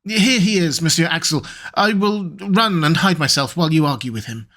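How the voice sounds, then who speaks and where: A man's voice: fairly high pitch, moderate pace, a bit bright, close to an everyday voice. A man voicing an anxious, timid female housekeeper in an audiobook reading, fluttery and alarmed. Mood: flustered.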